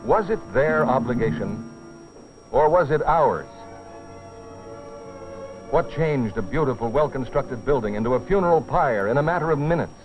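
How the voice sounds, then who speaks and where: A man's voice: mid-range voice, expressive, moderate pace, pauses often. A man delivering dramatic, solemn scripted narration for an educational film, with rhetorical weight. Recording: dull, dated film soundtrack with music.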